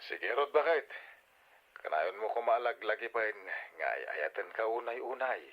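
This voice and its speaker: A man's voice: medium pitch, expressive, normal speed. Through a telephone effect, a man playing a loving, apologetic husband working abroad in a radio drama. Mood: affectionate, apologetic. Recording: dull, band-limited phone-call sound.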